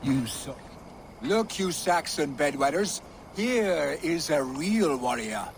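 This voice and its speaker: An actor playing a boastful, mocking Norse jarl in a video game. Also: male voice, on the high side, lively intonation, slow. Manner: mocking, swaggering. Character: boastful, taunting male Norse jarl, bawdy braggart. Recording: noisy outdoor ambience behind.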